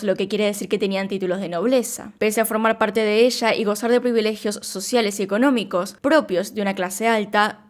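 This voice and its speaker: A woman narrating a true crime story in a calm, scripted way, speaking directly to her viewers. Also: medium pitch. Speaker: true crime channel host.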